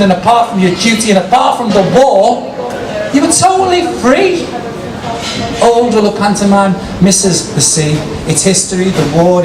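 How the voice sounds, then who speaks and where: Theatrical man reciting poetry, distant and echoey. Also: high voice. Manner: theatrical, rhythmic, drawn-out phrasing. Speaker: performance poet and compere. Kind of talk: dramatic live poetry recital with casual asides. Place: outdoor city square, poetry tent. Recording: distant, echoey, noisy outdoor live recording. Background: street ambience and passers-by noise.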